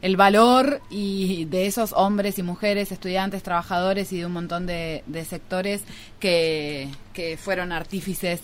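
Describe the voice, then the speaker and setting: Female radio co-host, low-pitched, earnest and a little halting, slight background hiss. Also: fairly even intonation. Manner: earnest, stumbling over repeated words. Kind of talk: casual radio chat.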